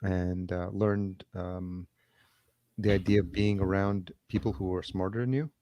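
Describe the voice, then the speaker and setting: A man speaks in a low, even monotone over a video call. He talks as an interview guest in an unhurried, measured way, pausing on ums and restarting his sentences. The sound is dry and close. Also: no room sound, muffled recording. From El Salvador, raised in Yonkers, New York. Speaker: podcast producer, guest. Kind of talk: casual interview chat. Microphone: remote video call.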